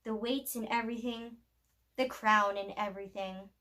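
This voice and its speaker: A woman reading a children's picture book aloud as a storyteller, with expressive, deliberate phrasing. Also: on the high side.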